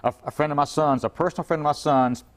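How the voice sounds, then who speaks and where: A man's voice: fairly high pitch, steady tone, fast. Male import car shop owner, guest on a TV chat, folksy and plain-spoken, repeats himself. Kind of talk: TV interview, giving advice.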